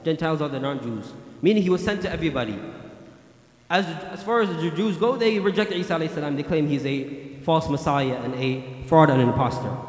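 A man in his thirties giving a Friday sermon into a standing mic, explaining in English and chanting Quranic Arabic verses quickly. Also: a little high. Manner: emphatic, repetitive preaching with gestures. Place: large mosque prayer hall. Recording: echoey mosque hall with long reverb.

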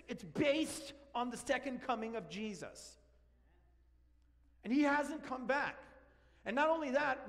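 A man in his forties from Argentina, a native Spanish speaker, preaching an animated, joking sermon while walking around the stage. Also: very high voice. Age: 40s. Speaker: pastor. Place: large church sanctuary. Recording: long church hall reverb.

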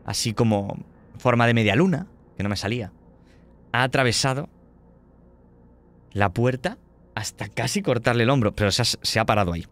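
A man's voice: medium pitch, expressive, normal speed, pauses often. A man narrating a tabletop horror game as game master, describing scenes vividly and dramatically.

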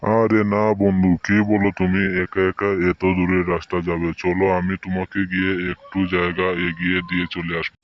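A man voicing a polite, hospitable husband and friend in scripted cartoon dialogue, speaking deliberately. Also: sits low, flat delivery, lightly acted. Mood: polite, friendly. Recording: dull, lo-fi sound.

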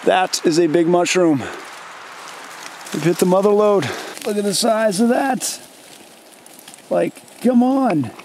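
A man in his thirties hosting a vlog outdoors in a rainy forest, talking casually and reacting with excitement as he films himself up close. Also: sits high, slow, long pauses, slight background noise. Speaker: channel host. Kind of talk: casual vlog chat and voice-over. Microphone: handheld camera close to the face.

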